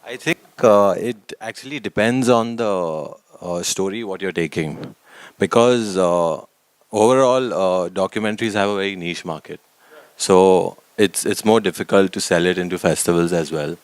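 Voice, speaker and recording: A man's voice: sits low, moderate pace. A man, a documentary filmmaker answering interview questions conversationally. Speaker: documentary director and writer.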